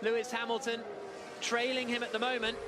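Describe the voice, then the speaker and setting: A man giving brisk, excited live motor racing commentary on radio. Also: very high voice, noisy background.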